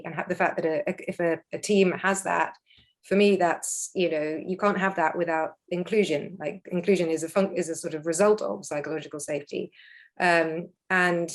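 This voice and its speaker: A woman thinking aloud in a casual discussion, rambling with many fillers. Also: fairly low pitch. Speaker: discussion participant.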